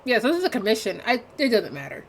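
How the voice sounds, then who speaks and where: A woman's voice: fairly high pitch, sing-song, quick pace, slight background noise. A woman livestreamer giving casual gameplay commentary in a dry, offhand, rambling way.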